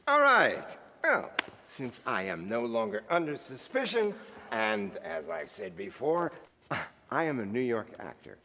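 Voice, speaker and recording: An actor as a haughty, exasperated stage actor from New York in a film comedy. His voice is bright and forceful and swings hugely in pitch, ringing in a reverberant hall. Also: wildly swooping pitch, a little slow, slight background noise, full character voice. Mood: haughty, impatient. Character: pompous, short-tempered male New York stage actor. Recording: echoing hall with long reverb.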